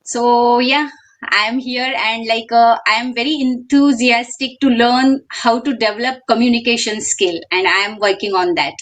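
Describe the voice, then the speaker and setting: Brief: high-voiced woman in her forties, earnest. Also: steady tone, a little slow. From Mumbai, India. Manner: earnest, repeats yes and yeah. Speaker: guest, homemaker. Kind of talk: casual interview talk.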